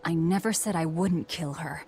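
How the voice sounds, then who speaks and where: An actress playing a stern, pragmatic young woman in a fantasy game, serious and measured. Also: low voice, mellow, a little hiss or hum. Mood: grim, serious. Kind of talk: dramatic game dialogue.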